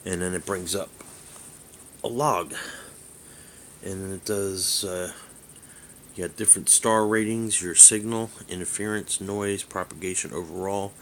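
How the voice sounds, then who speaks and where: Male hobbyist vlogger, low-pitched and forceful in tone, casual walkthrough, meandering and hesitant, faintly noisy recording. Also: fairly even intonation. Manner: halting, rambling, thinking aloud. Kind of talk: casual hands-on app demonstration.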